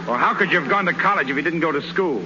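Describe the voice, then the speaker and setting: A man's voice: on the high side, animated, very fast, natural voice. An actor playing a teasing, nosy ballplayer needling his pal in a 1930s radio comedy. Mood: teasing, prying. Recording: noisy, muffled 1930s radio recording.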